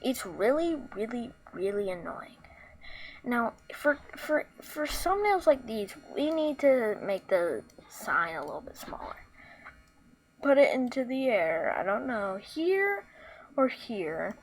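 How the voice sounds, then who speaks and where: A woman with a squeaky voice talking through a casual screen tutorial, rambling with lots of fillers and restarts. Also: big pitch swings, slow, rough recording. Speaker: tutorial maker.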